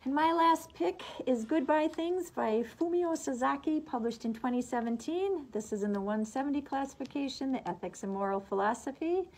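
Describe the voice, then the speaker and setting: A woman's voice: high-pitched, normal speed, hardly any pauses, darkish tone. A middle-aged woman, a librarian recommending books and reading summaries, her voice slightly muffled by a face mask.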